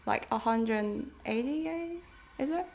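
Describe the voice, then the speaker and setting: A woman's voice: fairly high pitch, takes it slowly, vocal fry, darkish tone. A thirty-year-old woman from Taiwan with a Taiwanese accent, a native Mandarin speaker, hosting a casual vlog chat in a giggly, hesitant, conversational way. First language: Mandarin Chinese. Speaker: vlog host.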